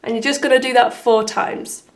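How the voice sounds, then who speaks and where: Slightly hoarse, higher-pitched woman teaching piano. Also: steady tone, moderate pace. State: slightly hoarse from having been unwell. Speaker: piano teacher and video host. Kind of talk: step-by-step tutorial instruction. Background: piano playing.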